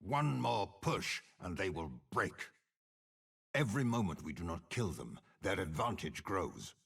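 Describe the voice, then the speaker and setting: Casting: aging game warlord, extremely low husky voice, slow, calculating, commanding and contemptuous. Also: male voice, big pitch swings, a bit bright, heavily acted. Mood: commanding, stern. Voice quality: gravelly, husky. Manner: commanding, measured, disdainful. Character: cold, cunning old male warlord general and schemer. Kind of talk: dramatic game character voice lines.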